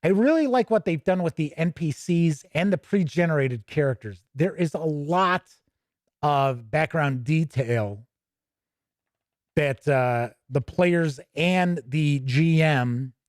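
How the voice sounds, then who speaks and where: A man's voice: fairly high pitch, animated, unhurried, darkish tone, dry recording, studio quality. A man hosting a tabletop gaming show, casually thinking aloud and drifting into asides as he pages through a book. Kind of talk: casual live commentary while paging through a rulebook.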